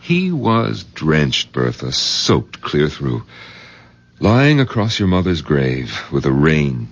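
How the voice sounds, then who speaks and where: An actor playing a sensible, skeptical village doctor and husband in a radio drama, with a husky voice and questioning manner. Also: male voice, rumbling low, huge pitch swings, takes it slowly, heavily acted. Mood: concerned, matter-of-fact. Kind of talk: 1970s radio drama acting. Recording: dull old radio recording.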